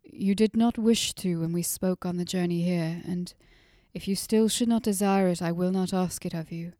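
A woman voicing a gentle, earnest young man who speaks in formal, old-fashioned sentences. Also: on the low side, steady tone, moderate pace, no echo, clean studio sound, lightly acted.

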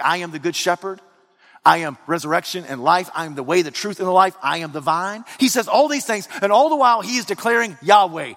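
A man preaching a sermon, swinging from loud excited outbursts to low hushed lines. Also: gravelly. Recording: long reverb of a large hall.

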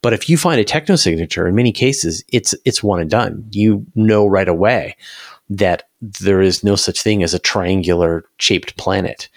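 A man's voice: sits low, moderate pace, no echo, studio quality. A man talking enthusiastically and emphatically in a conversational science discussion, as an interview guest.

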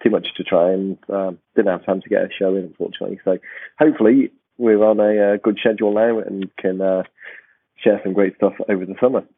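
A man hosting a podcast, with a croaky voice and a rambling, restarting way of talking. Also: sits low, fairly even intonation, fairly quick, dry recording. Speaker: podcast host. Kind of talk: casual podcast chat. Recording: tinny phone-like line.

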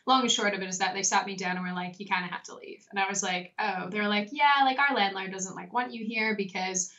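A young Australian woman talks her way through stories in a casual interview, with plenty of "like" and "um" along the way. Her pitch sits in the middle for a woman, and the audio is a little dull and lo-fi. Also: quick pace, sharp tone. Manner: chatty storyteller, frequent likes and ums. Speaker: interview guest.

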